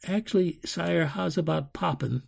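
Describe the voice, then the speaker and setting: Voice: medium pitch, moderate pace, gravelly, darkish tone, slightly rough, pitched above the performer's own voice. A storyteller voicing a scrappy streetwise orphan boy with slangy, nervy speech in a children's story. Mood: nervous, daring. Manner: slangy, informal.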